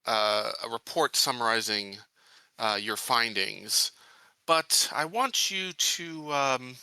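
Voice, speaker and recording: A man voicing an earnest, secretive colony marshal in a halting, deliberate and persuasive manner, in a tabletop game. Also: mid-range voice, pushed and bright, smooth, dry recording, heavily acted, rough recording. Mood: careful.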